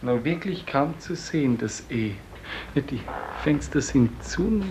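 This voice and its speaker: An actor playing a timid, dutiful, melancholy office clerk in a 1950s German radio drama, hesitant and polite. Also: male voice, mid-range voice, expressive, a little slow, close to an everyday voice. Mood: muttering, dutiful. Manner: hesitant, polite, repeating himself. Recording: roomy, very noisy vintage radio recording.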